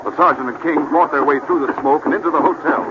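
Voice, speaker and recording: A man's voice: on the high side, fast talker. A man narrating a story in the dramatic scripted style of old-time radio. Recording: noisy, muffled vintage radio recording.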